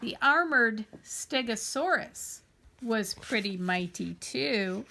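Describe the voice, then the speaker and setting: A woman reading a children's picture book aloud in an expressive, deliberate, emphatic storytelling manner. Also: on the high side, creaky, a little hiss or hum.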